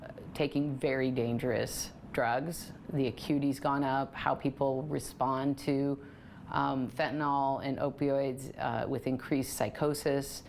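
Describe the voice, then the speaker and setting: A woman's voice: very deep voice, creaky, a little mellow, slight background noise. An American woman from a nonprofit giving serious, emphatic interview answers. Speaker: nonprofit official being interviewed.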